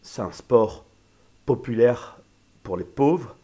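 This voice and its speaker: Lively opinionated man chatting. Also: medium pitch, slow pace, crowd noise. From Spain. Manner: animated, opinionated, mimics people in passing. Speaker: podcast co-host. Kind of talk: casual podcast chat.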